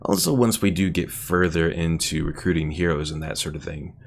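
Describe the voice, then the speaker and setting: Low, gravelly male gamer. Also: a little fast, a little hiss or hum. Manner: thinking aloud. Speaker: streamer playing a strategy game. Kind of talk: casual gameplay commentary. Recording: game music behind voice.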